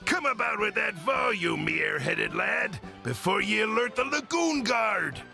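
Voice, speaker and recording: Shifty, gravelly pirate captain, swooping wildly. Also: male voice, sits high, sing-song, a little slow, over music, heavily acted. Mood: blustering. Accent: mock pirate. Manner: theatrical, blustering, slips into self-corrections. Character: shifty, self-important pirate captain, greedy and bluffing.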